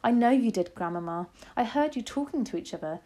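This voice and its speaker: A woman voicing an excited small boy turned into a mouse, exclaiming breathlessly in a storybook reading. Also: on the high side, fairly quick, pitched above the performer's own voice. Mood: eager.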